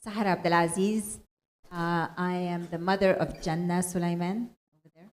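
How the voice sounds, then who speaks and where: A woman with a lowish voice introducing herself as a mother on a panel. She speaks briefly, a bit hesitant. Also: fairly even intonation, normal speed. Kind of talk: casual self-introduction.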